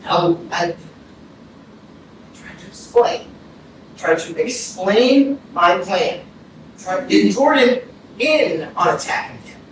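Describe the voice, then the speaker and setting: An actor in a staged play reading, playing a troubled young killer who confesses in halting, terse answers. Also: mid-range voice, lively intonation. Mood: halting, explaining. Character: subdued troubled young man confessing to killing his family. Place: arts center stage, distant mic. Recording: echoey hall from far off, faint noise.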